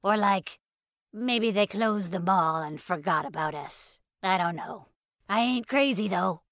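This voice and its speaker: A woman voicing a small, eccentric, cryptic older woman with a crackly, raw voice and drawn-out, sing-song delivery in an audio story. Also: a bit bright, no room sound, clean studio sound. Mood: sassy, irritated. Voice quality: high-pitched, crackly, raw.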